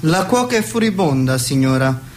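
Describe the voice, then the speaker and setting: An actress playing a worried, deferential household maid in a theatrical radio comedy. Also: female voice, deep voice, big pitch swings, normal speed, darkish tone, a little hiss or hum.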